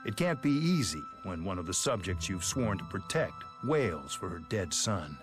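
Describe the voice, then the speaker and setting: An actor playing a sardonic, mock-polite police detective in a video game, smug and theatrical. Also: male voice, low voice, big pitch swings, moderate pace, over music. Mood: smug, taunting. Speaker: detective character. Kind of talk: English video game dub acting.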